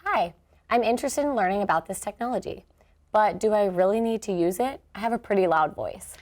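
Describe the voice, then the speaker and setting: A woman's voice: medium pitch, fairly quick, darkish tone. American woman reading teacher questions, curious, plain. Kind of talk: scripted question-and-answer dialogue.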